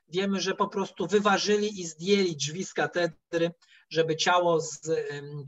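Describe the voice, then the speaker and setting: A middle-aged man giving a history lecture over a video call, telling the story haltingly with digressions and repeated words. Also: fairly high pitch. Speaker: history professor, guest lecturer. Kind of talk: spoken history lecture with slides. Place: indoor room, video call. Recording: dull, lo-fi online call audio.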